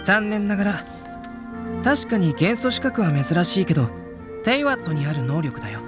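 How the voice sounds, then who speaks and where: A man playing a calm, polite young alchemist with measured, detached courtesy in a Japanese anime game. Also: high-pitched. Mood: calm, reflective. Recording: game audio with music behind.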